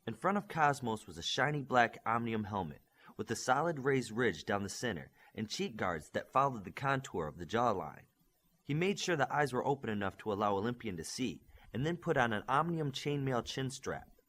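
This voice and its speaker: Male audiobook narrator with lively pitch. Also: normal speed. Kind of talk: scripted narration of a superhero novel.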